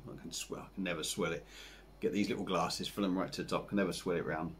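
A man in his forties with an English accent, chatting casually to camera as he reviews a beer at his home bar, rambling and relaxed with lots of fillers. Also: low-pitched, fast, slight background noise. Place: home bar room with dartboard and signs.

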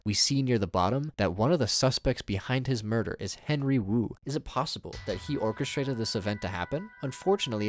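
A man narrates a scripted commentary in a mid-range voice. He slips in wry, joking asides as he goes, with music playing softly underneath. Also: medium pitch. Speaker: YouTube horror series commentator. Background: music bed.

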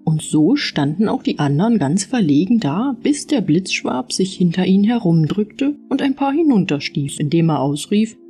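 A woman narrating an audiobook fairy tale in an expressive storytelling style. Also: medium pitch, normal speed, few pauses, breathy edge, soft background music.

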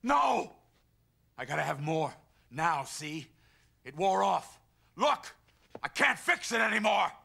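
An actor playing a volatile, desperate movie star in an animated series, with rough, snappish tough-guy talk. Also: high-pitched, takes it slowly, pauses often, full character voice. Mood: panicked. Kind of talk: dramatic animated series acting. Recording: loud music under the dialogue.

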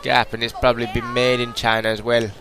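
A man's voice: medium pitch, monotone, brisk, background noise. A young British man casually commentating a game, joking and rambling in a put-on Jamaican accent. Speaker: gameplay commentator. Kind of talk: casual let's-play commentary.

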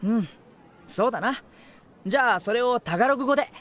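A man's voice: extremely high pitch, expressive, brisk, a bit bright. A man acting a playful, mischievous teenage volleyball player in an anime radio drama, with a teasing, theatrical manner.

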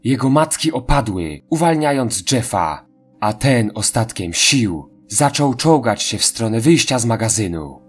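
A man's voice: medium pitch, sing-song, measured pace, breathy edge. A man reading scripted horror narration in a dramatic storytelling style. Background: faint music.

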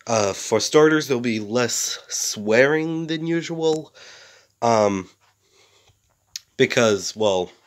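A young man, sick and a bit rough-voiced, rambling casually to a close camera in a small bedroom, full of fillers and restarts. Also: mid-range voice, expressive, slow, long pauses. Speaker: series creator.

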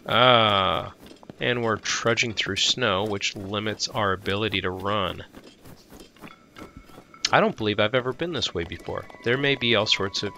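A man's voice: lowish voice, measured pace, gravelly, bright, forceful tone, a little hiss or hum. A man with a lingering head cold giving casual, goofy, rambling gameplay commentary as if talking to himself. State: tail end of a head cold. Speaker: let's play host.

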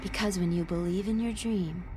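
An actress playing a vain, obsessive, bitterly determined model in a dark video game monologue, brooding and deliberate. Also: female voice, lowish voice, steady tone, lightly acted. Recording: loud eerie music under the voice. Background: loud scary music.

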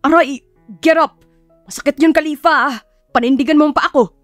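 A woman's voice: high-pitched, a little fast, no echo, soft background music, pitched above the performer's own voice, lightly acted. Woman as a stern, grumpy male CEO. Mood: angry. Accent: Filipino. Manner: curt, stern. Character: grumpy, commanding, teasing male company CEO, a father.

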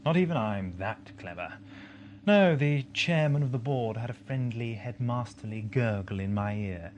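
A man's voice: mid-range voice, expressive, normal speed, darkish tone, natural voice. A man playing an eccentric, glib, theatrical time-travelling adventurer in an audio drama. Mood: wry, breezy. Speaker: voice actor in an amateur audio drama. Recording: noisy lo-fi 1980s amateur tape.

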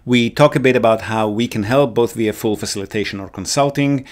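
Man, podcast host and property advisor, easygoing explainer, mid pitch, unhurried with filler pauses. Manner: relaxed, explanatory, frequent ums. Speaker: real estate consultant and podcast host. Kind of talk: casual consultation call.

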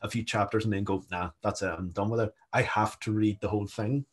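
A man's voice: lowish voice, fairly even intonation, fairly quick, breathy edge. Rambling Northern Irish man on a call. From Northern Ireland. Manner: self-mocking, rambling. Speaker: panelist. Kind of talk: casual panel chat. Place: small room indoors. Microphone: video call.

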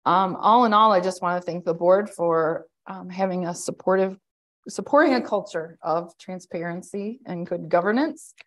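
A woman in her thirties with a loud voice, giving an administrator's report at a county board work session over a video call, talking conversationally with many fillers and restarts. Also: fairly low pitch, normal speed. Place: indoor office, joining a hybrid meeting. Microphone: webcam on a video call.

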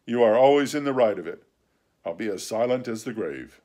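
A man voicing an excitable, boastful gentleman squire in an audiobook, eager and tumbling over his own plans. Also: mid-range voice, brisk, close to an everyday voice. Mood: earnest. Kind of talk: audiobook character reading.

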